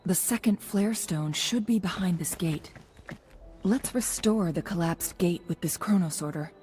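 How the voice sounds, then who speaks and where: A woman voicing a composed, analytical young female guide in a video game, speaking in a measured, serious, explanatory way. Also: lowish voice, darkish tone, faint music behind, close to an everyday voice. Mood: calm, instructive. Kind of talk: scripted video game dialogue.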